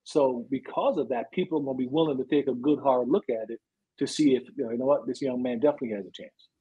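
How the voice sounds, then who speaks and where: A man's voice: medium pitch, fast talker, husky. A man who coaches football, giving conversational advice in an explanatory way, checking each point with "right" and "you know". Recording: dull, lo-fi sound.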